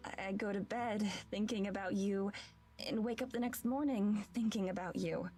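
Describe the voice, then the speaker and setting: An actress voicing an earnest young woman in love in a visual novel, with soft, hesitant, heartfelt delivery. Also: mid-range voice, steady tone, normal speed, faint music behind, close to an everyday voice. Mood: earnest, tender.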